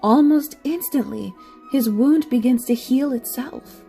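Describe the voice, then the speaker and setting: A woman's voice: high voice, unhurried, gravelly, mellow, soft background music. A woman reading scripted story narration for an audio drama.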